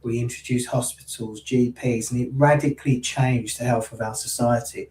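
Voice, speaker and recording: A man from the UK with a mid-pitched voice speaks earnestly and passionately as a panel guest, tripping into quick restarts. He sits away from his mic in a roomy space, so the sound is distant and echoey. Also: steady tone, darkish tone. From United Kingdom. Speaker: panel guest, health service worker. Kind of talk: online panel discussion.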